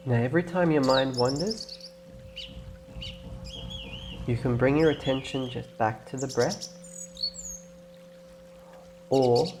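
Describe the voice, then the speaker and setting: A man leading a guided meditation in a gentle, instructing way, leaving long pauses between phrases. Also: mid-range voice, background noise. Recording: clear birdsong and nature ambience behind. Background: birdsong, nature ambience.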